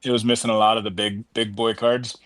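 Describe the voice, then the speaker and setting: A man's voice: fairly low pitch, little pitch movement, brisk, fairly bright tone, no room sound. A man in his thirties telling his collecting story in a casual group video call, rambling and restarting often. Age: 30s. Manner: rambling, self-interrupting, enthusiastic. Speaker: collector and card seller.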